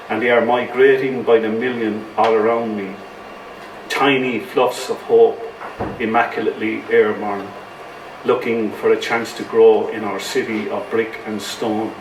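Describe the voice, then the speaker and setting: Guy reading his poem at an open mic, distant sound, noisy room behind him. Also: on the low side. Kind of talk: live poetry reading. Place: indoor bar venue at a live open-mic night. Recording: echoey room, distant mic, clear background noise.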